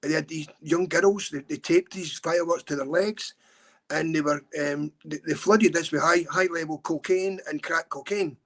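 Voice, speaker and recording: A man's voice: fairly high pitch, fairly even intonation, moderate pace, fairly bright tone. A middle-aged Scottish man telling a story in an earnest, urgent way. He talks a lot, in a casual podcast chat. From Scotland. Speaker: campaigner and guest.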